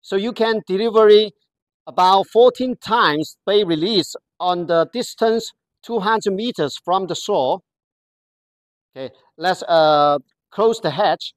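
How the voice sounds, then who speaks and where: A presenter gives a product walkthrough in careful, halting, non-native English, pausing often to find words. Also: medium pitch, no echo. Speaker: product demonstrator.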